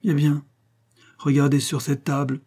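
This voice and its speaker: A man's voice: fairly high pitch, monotone, a little slow, dark tone, pitched above the performer's own voice. A man voicing an imperious, theatrical society lady who declaims scornfully in a comic audiobook. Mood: mock-tragic. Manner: declamatory, mock-tragic. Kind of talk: comic literary audiobook dialogue.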